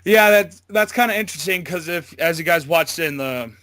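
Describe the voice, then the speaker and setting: Bright-voiced man chatting in an interview. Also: a little high, normal speed, no echo, studio quality. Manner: hesitant, rambling, informal. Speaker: amateur voice actor being interviewed. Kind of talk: casual interview chat.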